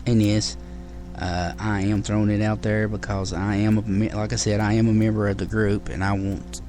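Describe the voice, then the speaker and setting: A man's voice: on the low side, little pitch movement, normal speed, noisy background. A man hosting his own show, talking casually to listeners in a rambling way with many fillers and restarts. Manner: friendly sign-off to listeners. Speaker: podcast host. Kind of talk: casual solo show talk.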